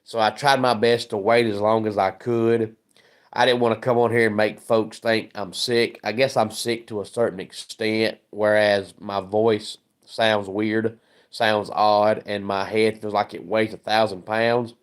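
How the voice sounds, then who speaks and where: A man hosting a casual sports livestream, hoarse and scratchy from a head cold, chatting and greeting viewers by name. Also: lowish voice, level tone, a little slow. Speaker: sports channel host.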